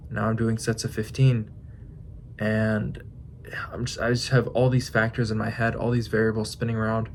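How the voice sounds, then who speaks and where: A man in his twenties talking through his thoughts in a casual vlog, musing with pauses and restarts. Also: fairly low pitch, flat delivery, slight background noise. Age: 20s. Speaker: fitness vlogger. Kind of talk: reflective casual vlog monologue.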